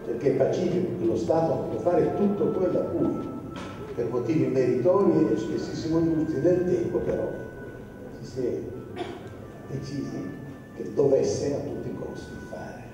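An older man in his sixties speaks formally into a handheld mic, his phrases measured and softly fading. He sounds distant in a long-reverb hall. Music plays clearly behind him. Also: on the high side, dull audio. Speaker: foundation president. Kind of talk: formal public talk. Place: large exhibition hall.

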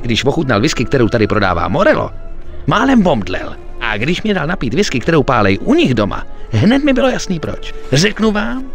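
An actor playing a chatty, eager young gangster schemer in a video game, talking colloquially. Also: male voice, a little high. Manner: colloquial, animated storytelling. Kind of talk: video game cutscene acting in Czech.

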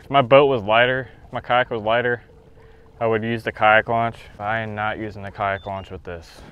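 A man's voice: lowish voice, steady tone, normal speed, clear, resonant tone, dull audio. A young man vlogging as he fishes from a kayak on an open lake, chatting casually to himself and the fish and laughing now and then, picked up by an action camera mounted on the boat. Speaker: fishing vlogger. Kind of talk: casual vlog narration.

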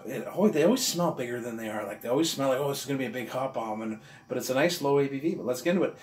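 A man's voice: mid-range voice, animated, quick pace, reverberant, slight background noise. A man in his forties, chatty and enthusiastic, reviewing a beer at a table in a casual vlog. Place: room with walls of beer bottles, indoors.

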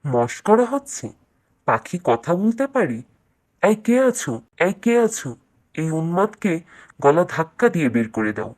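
A man's voice: on the high side, very animated, measured pace, long pauses. An actor voicing men of a cartoon fairy tale, a hunter and a king, in a theatrical, declamatory manner. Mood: angry. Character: male fairy-tale characters, a humble hunter and an imperious king.

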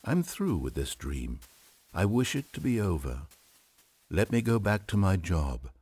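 A man's voice: sits low, very animated, unhurried, warm tone, slightly rough, no echo, full character voice. An actor voicing a desperate, ordinary office worker in a video game, pleading intensely as he loses his sanity. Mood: weary pleading.

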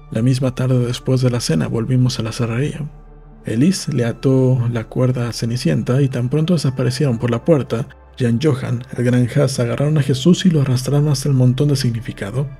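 A man's voice: medium pitch, brisk, creaky, warm tone, slightly rough, faint music behind. A man reading literary narration from a script, as in an audiobook. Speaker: audiobook reader.

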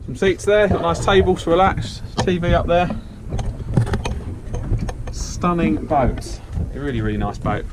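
A man chatting casually as a fishing vlogger, upbeat and thinking aloud, on a small boat at sea. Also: medium pitch. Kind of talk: casual on-the-spot vlog narration. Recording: loud wind and water noise on an outdoor boat mic.

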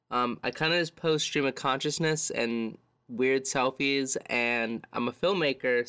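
A man's voice: mid-range voice, sharp tone, smooth. A man on a podcast, joking around in playful, brash, casual banter. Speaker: podcast cast member.

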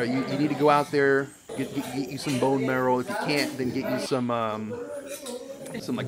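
A young man from the United States hosting a casual reaction video, rambling, repeating words and reading comments aloud from a dim room. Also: medium pitch.

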